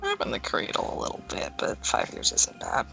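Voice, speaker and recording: Sassy roleplayer with a gravelly, fry-heavy voice and faint music underneath. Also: extremely low pitch, wildly swooping pitch, a little fast, sharp tone. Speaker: roleplay player. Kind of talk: casual voice-chat roleplay.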